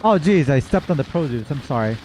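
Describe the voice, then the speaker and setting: Playful, higher-voiced man commenting on a game. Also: expressive, fairly quick, a little mellow, a little hiss or hum. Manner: playful, wry asides. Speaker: gaming streamer reacting to a story quest. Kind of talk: casual playthrough commentary. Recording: slightly dull, faint game music.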